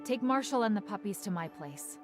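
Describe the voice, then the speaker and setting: A woman's voice: medium pitch, lively intonation, brisk, over music, lightly acted. A woman voicing a grave, compassionate healer in a video game, somber and restrained. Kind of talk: video game dialogue acting.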